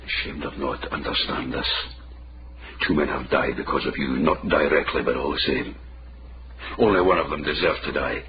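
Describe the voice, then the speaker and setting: Deep-voiced, sardonic Scottish street caddy. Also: male voice. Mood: cold, accusing. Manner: sardonic, accusing, knowing. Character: shrewd, defiant male caddy of old Edinburgh. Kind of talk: radio period drama acting. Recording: muffled, noisy old radio drama recording.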